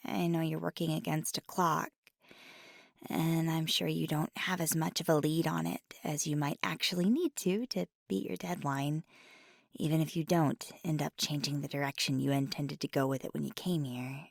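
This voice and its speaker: A woman playing a nervous, earnest paranormal investigator in an ASMR roleplay, speaking softly in a hesitant, stammering, rambling way. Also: sits low, fairly even intonation, moderate pace, gravelly, no room sound, studio quality. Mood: nervous, hopeful. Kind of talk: ASMR roleplay, intimate one-sided dialogue.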